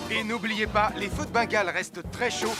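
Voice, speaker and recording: A man playing a friendly cartoon fireman who teaches children safety in a clear, instructive way. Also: sits high, steady tone, moderate pace, close to an everyday voice. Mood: cautioning. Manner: clear, didactic, addresses children directly. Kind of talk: animated, instructive cartoon narration. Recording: music behind the voice. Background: music.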